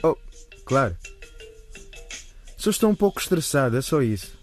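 A man's voice: medium pitch, big pitch swings, moderate pace, soft background music. A man playing a stressed, lovesick young man in a radio drama, evasive and hesitant.

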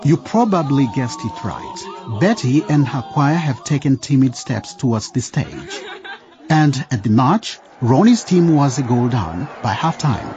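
A man's voice: medium pitch, very animated, measured pace. A man narrating a scripted radio drama as a storyteller, suspenseful, with playful asides to the listener. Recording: dull radio mix with music. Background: music.

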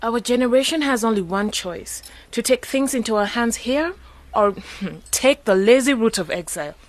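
A woman's voice: a little high, lively intonation, moderate pace, close to an everyday voice. An actress playing a determined, hopeful young graduate woman in a radio drama, direct and challenging. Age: young adult.

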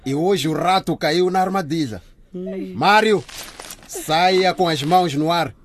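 A man's voice: on the high side, unhurried, lightly acted. An actor playing a stern policeman in a radio drama, giving orders with authority. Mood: stern, commanding. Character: stern commanding policeman making an arrest.

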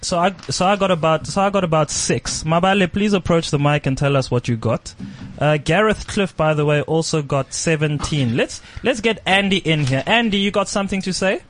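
A man's voice: fairly high pitch, slight background noise. Man hosting a radio talk show. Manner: brisk, reads items aloud then banters. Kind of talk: talk radio discussion, reading a checklist.

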